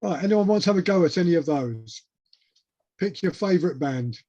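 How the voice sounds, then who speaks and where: Man, class moderator, casual prompting, raised pitch, dull lo-fi sound. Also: lively intonation, brisk, mellow. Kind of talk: casual chat, calling on participants.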